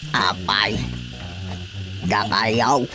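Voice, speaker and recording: A man's voice: on the low side, extremely slow, loud music behind the voice. An actor playing an elderly Filipino village father, plain-spoken, firm and admonishing, in a radio drama.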